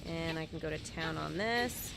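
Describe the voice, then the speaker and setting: A woman's voice: sits low, normal speed, creaky, noisy background. A woman chatting casually to viewers as she gives play-by-play commentary on a game, with informal exclamatory asides. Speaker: live game streamer. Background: video game music.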